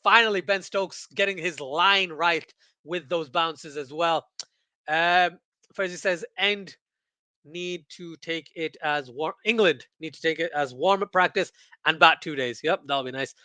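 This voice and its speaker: Male livestream cricket host from Pakistan, high and bright voice, close dry sound, excitable casual chat. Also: a little slow, no echo. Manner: excitable, repeats phrases for emphasis. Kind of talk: casual watchalong chat, reading viewer comments aloud.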